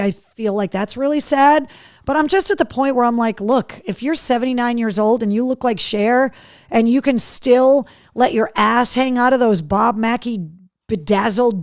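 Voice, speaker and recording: A middle-aged woman hosting a live show, chatting casually and candidly with viewers in a slightly hoarse voice, with a cheeky streak. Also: on the high side, darkish tone, no room sound, studio quality. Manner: candid, cheeky, mildly sweary. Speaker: podcast and livestream host. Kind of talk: casual live chat to viewers, reading out comments.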